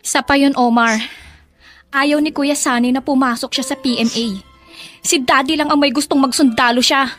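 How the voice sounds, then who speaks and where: Outspoken young daughter, high pitch, Filipino accent, earnest, expressive, radio drama. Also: female voice, normal speed, soft background music. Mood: sympathetic, indignant. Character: young woman, outspoken caring daughter of colonel.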